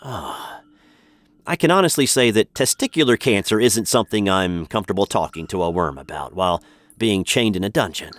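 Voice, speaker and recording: An American man, played by an actor sounds put-upon and reluctant in a radio comedy. His delivery swings widely in pitch, polite yet indignant, captured very dry. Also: lively intonation, fairly quick, no echo, natural voice. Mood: uneasy, reluctant. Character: exasperated, awkward American narrator held captive. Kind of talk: comedy radio sitcom acting.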